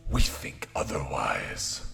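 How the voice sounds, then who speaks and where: Possessed hag voiced by a man, deep and whispery. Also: takes it slowly, bright, forceful tone, faint music behind. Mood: menacing. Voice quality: unnaturally deep and echoing, whispery. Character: possessed old woman, menacing, hissing, otherworldly.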